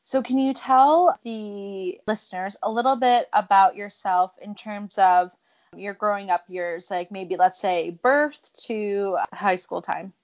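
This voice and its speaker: A woman's voice: medium pitch, takes it slowly, dull audio. Friendly woman podcast host. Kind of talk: casual interview chat.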